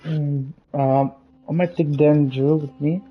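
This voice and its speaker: A man's voice: a little high, steady tone, takes it slowly. A man giving casual gameplay commentary, muttering to himself as he plays. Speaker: gamer. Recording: dull, noisy mic with clicks.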